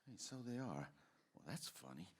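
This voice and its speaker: A man's voice: low-pitched, sing-song, normal speed, husky, exaggerated voice. A man acts out a bewildered family man in an old radio play. His voice swings wildly from mutters to urgent outbursts. He stammers in exasperation. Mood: chummy, tipsy. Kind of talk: old-time radio drama acting.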